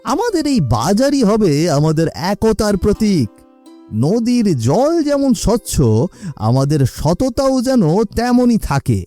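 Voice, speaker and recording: An earnest elderly village uncle from a moral cartoon, acted by a man. His mellow, fairly high voice swoops widely as he moralises emphatically, with faint music behind him. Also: sing-song, unhurried. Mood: proud, hopeful. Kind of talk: cartoon acting.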